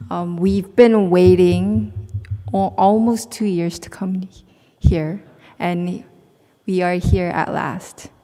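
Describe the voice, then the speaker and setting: A woman's voice: on the low side, fairly even intonation, slow pace, mellow, in a large hall. A young woman in her twenties with a Korean accent, earnestly telling her personal story to a gathering, with small restarts as she reads. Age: 20s. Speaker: student speaker. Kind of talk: prepared personal talk.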